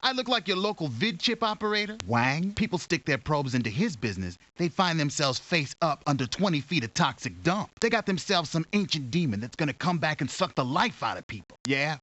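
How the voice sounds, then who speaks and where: A cocky, jittery street informant from a detective video game. His slangy, wisecracking talk runs slightly high and swings widely in pitch. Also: sing-song, brisk, few pauses, fairly bright tone. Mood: nervy, cynical. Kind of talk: scripted video game acting.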